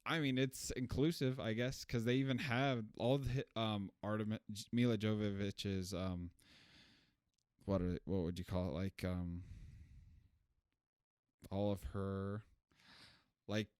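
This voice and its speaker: A man with a slightly low, smooth and clear voice chats casually on a podcast, trailing off and restarting his thoughts. The recording is dry and close. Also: steady tone, unhurried, pauses often, a little mellow, no echo. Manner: loose and chatty, many restarts and "like". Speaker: podcast co-host. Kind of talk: casual chat, movie review.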